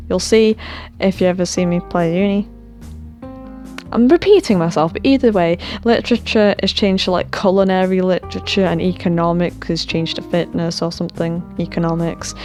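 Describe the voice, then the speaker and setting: Chatty woman with a lowish, worn-out voice and some self-deprecating asides. Soft game music plays under her. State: voice tiring, throat sore. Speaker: gaming channel host. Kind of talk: rambling casual commentary.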